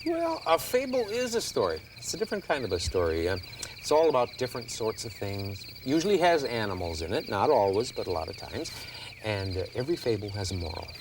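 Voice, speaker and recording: Actor as folksy guy explaining fables to kids, lively lowish voice, kinda far off w/ crickets chirping. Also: brisk. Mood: easygoing. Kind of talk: scripted children's video dialogue. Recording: distant, noisy with chirping crickets.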